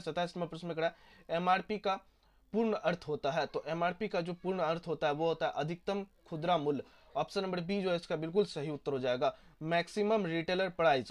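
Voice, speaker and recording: Hoarse man drilling exam answers. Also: fairly high pitch, quick pace. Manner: repeats each question and answer, drills key facts. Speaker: teacher. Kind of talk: exam question walkthrough.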